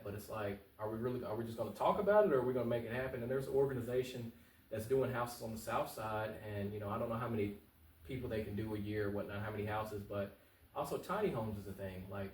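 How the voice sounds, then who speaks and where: A man's voice: medium pitch, fast talker, darkish tone. A man giving casual, passionate answers as a political candidate being interviewed, informal and repeating phrases for emphasis. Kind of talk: casual, earnest talk-show interview answers. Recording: roomy echo, distant mic.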